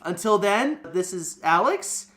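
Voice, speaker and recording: Youngish guy hosting a podcast, kinda high voice, goofy casual joking around. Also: sing-song, measured pace, fairly bright tone. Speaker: podcast host. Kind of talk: casual comic banter.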